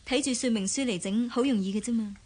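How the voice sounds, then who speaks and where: A woman playing a gentle, playful young auntie in a radio drama. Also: a little high, fast, breathy edge. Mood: earnest.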